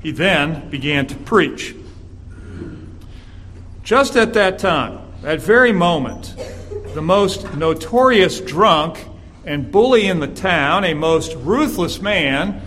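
Raspy guy preaching in church, a bit high-pitched, earnest, says uh a lot, faint background hiss. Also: expressive, a bit bright. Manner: earnest, measured preaching with filler "uh"s. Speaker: guest preacher. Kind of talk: sermon with scripture reading.

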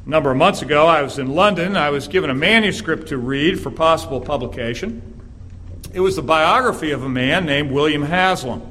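A man with a raspy voice, a guest preacher delivering an earnest sermon in a church and reading scripture aloud. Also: on the high side, sharp tone. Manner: earnest, measured preaching with filler "uh"s.

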